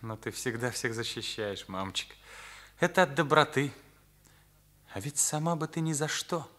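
An actor playing an earnest, indignant teenage son with an emphatic manner in a Soviet radio play. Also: male voice, medium pitch, lively intonation, moderate pace, sharp tone. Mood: insistent. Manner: accusing, emphatic, morally rigid.

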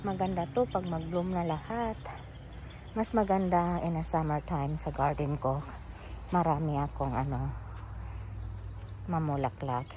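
A woman's voice: sits low, steady tone, moderate pace, pauses often, warm tone, slight background noise. A middle-aged woman with a Filipino accent gives a casual walking tour of her front garden outdoors, talking into her phone and switching between English and Tagalog. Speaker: vlogger giving a garden tour. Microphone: phone camera held while walking.

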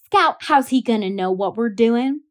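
A woman plays a hot-headed big-brother boy who hollers and scolds. His voice jumps well above the reader's own, loud and urgent, a storybook child in a hurry. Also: a little high, animated, unhurried. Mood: scheming. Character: excitable bossy young boy, big brother.

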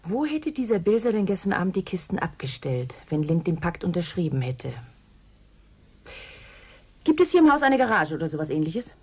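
A woman's voice: fairly low pitch, big pitch swings, moderate pace, darkish tone. A woman acting as a sober, sceptical amateur investigator in a German radio play, matter-of-fact and dryly ironic. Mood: probing.

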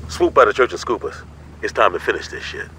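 A man playing a commanding, streetwise gang boss in a video game, American accent, husky and deliberate. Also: lowish voice, sing-song, noisy background. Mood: confident. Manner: deliberate, authoritative. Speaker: gang leader character. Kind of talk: video game cutscene acting.

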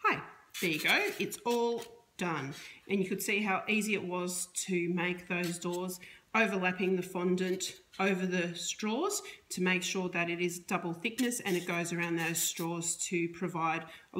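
A woman's voice: fairly low pitch, steady tone, moderate pace, a bit bright, slight background noise. A middle-aged woman chatting her way through a cake decorating demonstration in a home kitchen, explaining step by step with restarts, recorded by a camera on the counter. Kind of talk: casual how-to demonstration.

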